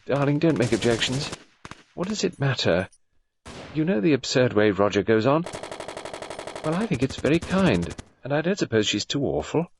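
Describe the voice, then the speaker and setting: Fussy genteel wife, acted by a man, self-pitying, gushing, lifted pitch, lively intonation, storybook reading. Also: slight background noise. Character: fussy, self-pitying upper-class married woman, gracious.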